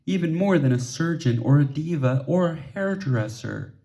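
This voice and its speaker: A man's voice: on the high side, expressive, moderate pace, mellow, voice pushed up. A man voicing an eager, determined little schoolgirl in a storybook, earnest and insistent. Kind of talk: storybook character dialogue.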